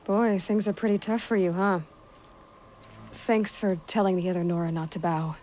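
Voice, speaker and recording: A woman's voice: mid-range voice, steady tone, normal speed, a little mellow, slight background noise, natural voice. A woman playing a plainspoken, guarded but kind young warrior in a video game, with a direct, understated manner. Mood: dry, warm. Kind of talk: video game cutscene acting.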